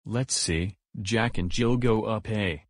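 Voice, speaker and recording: A man's voice: lowish voice, no echo, studio quality. A man voicing a big friendly purple cartoon dinosaur who guides young viewers with simple, encouraging words. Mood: cheerful, encouraging. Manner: slow, simple, encouraging, goofy hums. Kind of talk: children's show dialogue, guiding young viewers.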